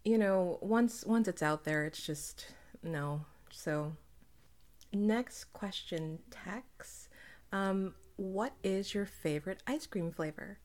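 A woman's voice: mid-range voice, slow, darkish tone. A woman chatting casually as a panelist in a group question-and-answer conversation. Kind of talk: casual chat.

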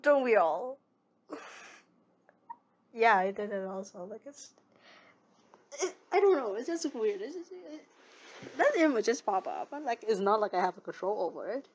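A woman's voice: mid-range voice, sing-song, normal speed, long pauses, slight background noise. A young woman, whose first language is not English, chatting casually and reading viewer comments with frequent giggles and rambling restarts. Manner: giggly, rambling, self-correcting. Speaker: streamer.

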